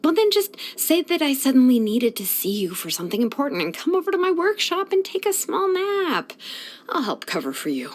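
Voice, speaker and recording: An actress playing a sleepy, laid-back young woman blacksmith in a fantasy video game, speaking in a casual, unhurried way. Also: sits high, huge pitch swings. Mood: gently advising. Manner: unhurried, casual, hesitant drawl with sighs. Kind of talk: English video game voice acting.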